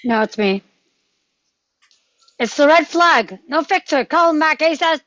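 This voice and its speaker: A woman acts out a commanding special forces operative in a script read. The voice is high and urgent. The audio is dry and somewhat muffled. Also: lively intonation, unhurried, a bit bright, no room sound. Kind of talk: screenplay table read acting.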